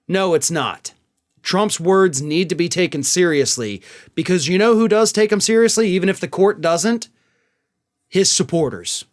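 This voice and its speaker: A man hosting a political commentary show, speaking in an emphatic, opinionated way with a sarcastic edge. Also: fairly high pitch. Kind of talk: opinionated news commentary to camera.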